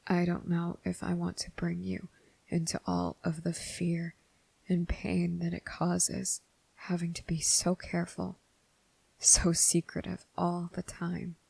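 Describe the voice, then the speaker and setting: A man playing a gentle, anguished young vampire in an ASMR roleplay, speaking in a hushed, halting way. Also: high voice, monotone, full character voice. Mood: anguished, fearful. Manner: hesitant, halting, breathy, hushed.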